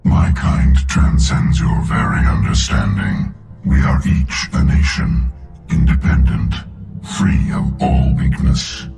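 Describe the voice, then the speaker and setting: A man's voice: very deep voice, slow, creaky, heavily acted. A man playing an ancient, arrogant machine intelligence in a video game, speaking in grandiose, cold, contemptuous declarations. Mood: cold menace. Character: ancient godlike sentient machine, arrogant, menacing destroyer of organic life. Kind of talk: video game villain voice acting. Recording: echoing, loud music behind.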